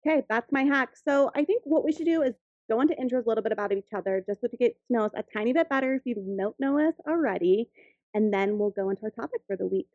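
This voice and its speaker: A woman's voice: on the high side, fast talker, dry recording, narrow, tinny audio. A woman in her thirties, co-hosting a casual podcast, chatty and giggly, full of "like". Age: 30s. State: new mother of a ten-week-old. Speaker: podcast co-host. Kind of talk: casual chat.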